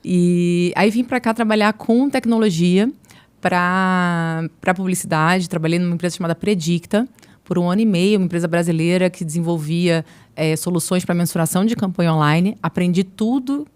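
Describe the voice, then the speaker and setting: A woman in her forties from Niterói, with a Rio de Janeiro accent softened by years in São Paulo, talking casually as an interview guest and acting out bits of dialogue as she tells stories. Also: on the low side, moderate pace, clean tone. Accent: Rio de Janeiro Portuguese, softened by years in São Paulo. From Niterói, Brazil. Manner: animated storyteller who acts out quoted dialogue. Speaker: guest, marketing executive.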